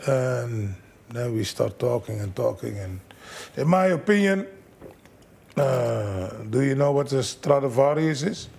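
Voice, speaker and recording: A man, a fight trainer, telling animated stories in broken English as an interview guest. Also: mid-range voice, a little slow, creaky, husky. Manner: animated storyteller, broken English, acts out quotes. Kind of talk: casual storytelling interview.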